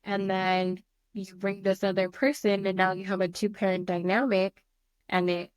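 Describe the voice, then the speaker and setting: A mother speaking earnestly and openly about her teenage son's struggles, with a naturally loud voice and a halting, candid way of talking. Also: medium pitch, little pitch movement, clear, resonant tone, dry recording. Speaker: mother of a teen on a family counseling show. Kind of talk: candid conversation.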